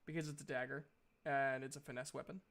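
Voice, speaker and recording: A man running a tabletop game as game master, explaining rules in an informal, halting way. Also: medium pitch, flat delivery, a bit bright.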